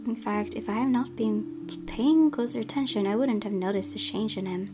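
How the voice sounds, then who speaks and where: A woman's voice: a little high, normal speed. A woman reading a visual novel aloud as a gameplay host, in a storytelling narration style. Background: guitar music.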